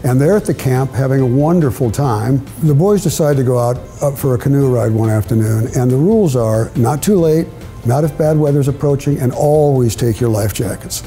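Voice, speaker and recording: Mellow, dark-voiced older man. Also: medium pitch. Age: older, with grandchildren. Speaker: children's book author, guest. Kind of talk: interview storytelling. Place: indoor studio set with brick walls, seated at a table. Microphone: lapel mic.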